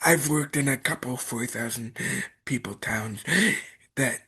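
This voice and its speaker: A man with a slightly husky, breathy voice, chatting as a podcast guest. He hesitates a lot, fills gaps with "um" and "like" and lets sentences trail off. His voice sits at a middling pitch. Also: unhurried, bright, forceful tone. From Iowa, United States. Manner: hesitant, filler-heavy, trailing off. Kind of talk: casual chat.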